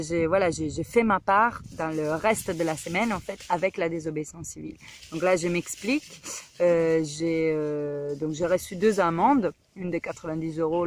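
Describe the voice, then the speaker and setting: A woman's voice: lowish voice, a little hiss or hum. A woman from Italy with an Italian accent, talking earnestly in a casual video message, hesitant, with many fillers and restarts. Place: home in Guadeloupe.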